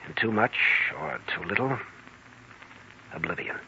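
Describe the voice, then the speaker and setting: A low, forward-voiced young intern, brooding and vengeful, in 1940s radio drama style. He sounds like someone nursing an old wrong. The recording is muffled, with faint hiss. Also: expressive, measured pace, pushed and bright. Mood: brooding, guarded. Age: young adult.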